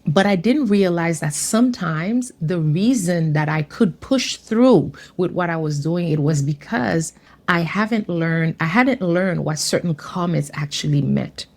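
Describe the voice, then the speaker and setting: A woman from Haiti giving an earnest, measured personal testimony. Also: fairly low pitch, animated.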